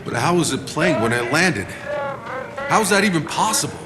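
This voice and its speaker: An actor playing a rattled, demanding male soldier in a sci-fi video game, with loud music over him. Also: fairly high pitch, very animated, normal speed. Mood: incredulous. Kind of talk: video game cutscene acting.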